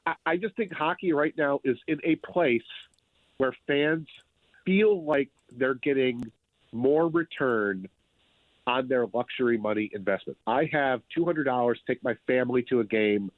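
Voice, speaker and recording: A man's voice: medium pitch, unhurried, no echo. A man speaking as a sports writer guest, giving conversational sports commentary in an emphatic way, repeating phrases for effect. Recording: thin phone-like line.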